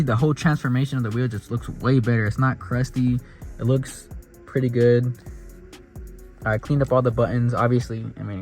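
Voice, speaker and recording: A man's voice: mid-range voice, a little mellow. A man casually narrating a car vlog in a laid-back, rambling way with plenty of slang, recorded in and around his car at home. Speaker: car vlogger.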